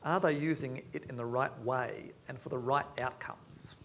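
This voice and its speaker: A man moderating a panel discussion in an auditorium, brisk and affirming as he puts questions to guests. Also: medium pitch, gravelly, a bit bright, slight background noise. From Australia. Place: large auditorium.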